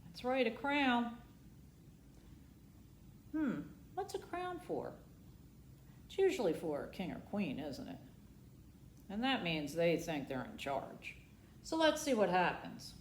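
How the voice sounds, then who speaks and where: A woman's voice: medium pitch, huge pitch swings, slow pace, long pauses, a little hiss or hum. A woman reading a children's storybook aloud as a library storytime host, theatrical and often putting questions to young listeners. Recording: echoey room.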